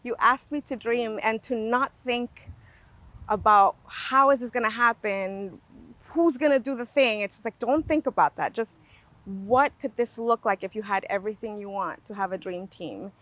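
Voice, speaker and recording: A woman's voice: fairly high pitch, a bit bright, no echo. Reflective woman, close and dry. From Guatemala. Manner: reflective, with many pauses and fillers. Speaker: language justice cooperative founder. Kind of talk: personal storytelling in conversation.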